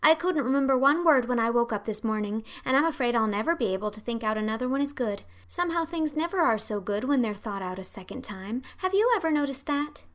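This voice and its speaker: A woman's voice: fairly high pitch, animated, fast, few pauses, fairly bright tone, voice pushed up. A woman voicing an imploring, talkative, dreamy orphan girl in an audiobook, with a gushing, earnest and dramatic delivery. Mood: earnest, chatty. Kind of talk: audiobook character acting.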